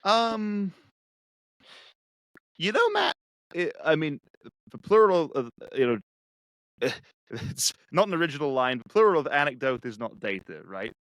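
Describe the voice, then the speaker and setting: A man from England with an English accent, a guest speaking reflectively and haltingly with many restarts. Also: a little high, huge pitch swings, fairly bright tone, no echo. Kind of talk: casual conversation.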